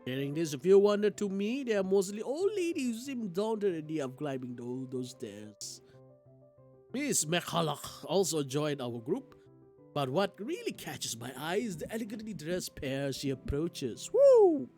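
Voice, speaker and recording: A man reading a visual novel aloud on a livestream in an expressive, theatrical way, as a game streamer. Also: on the high side, a little slow. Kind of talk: livestream playthrough, dramatic read-aloud of visual novel narration. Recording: music playing behind the voice. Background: game music.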